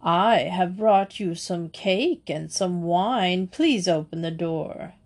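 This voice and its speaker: A woman's voice: fairly low pitch, slow pace. A woman voicing a crafty male wolf in a storybook, coaxing and falsely sweet. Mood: coaxing, sly. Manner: coaxing, scheming. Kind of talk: storybook character voice.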